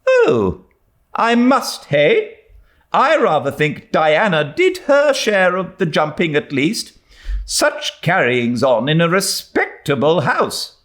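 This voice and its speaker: A man voicing a prim, cross old spinster lady with a sharp, indignant manner in an audiobook reading. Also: on the high side, sing-song, takes it slowly, voice pushed up, heavily acted. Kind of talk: dramatised audiobook reading of a classic novel.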